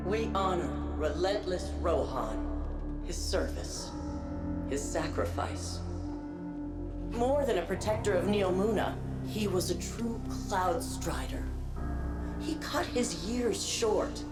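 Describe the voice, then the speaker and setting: An actress playing a dignified eulogist in a video game, giving a solemn ceremonial speech. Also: female voice, fairly low pitch, lively intonation, slow pace, pauses often, over loud music. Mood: solemn, reverent. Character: dignified eulogist honoring a fallen city hero.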